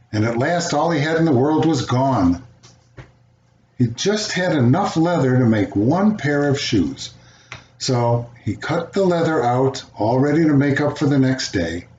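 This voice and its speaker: An older man reading a children's fairy tale aloud as a storyteller, with an expressive delivery. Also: medium pitch. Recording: roomy, dull home recording, faint noise.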